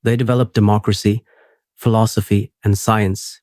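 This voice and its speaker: A man hosting a sleep podcast, reading a script in a steady, unhurried, soothing way. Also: fairly low pitch, no room sound, studio quality.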